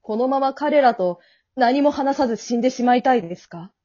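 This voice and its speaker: A woman's voice: sits high, animated, a little fast, mellow, muffled recording. A woman acting in an amateur radio drama as a polite, kindly male guide of the afterlife, speaking in an earnest, businesslike, reassuring way. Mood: calm, explanatory.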